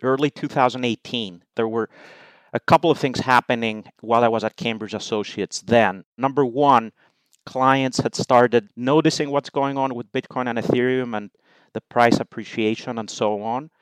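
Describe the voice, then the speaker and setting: Clear-voiced Greek man, measured and dry. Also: mid-range voice, dry recording, rough recording. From Greece. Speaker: podcast guest, investment professional. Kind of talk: explanatory interview talk.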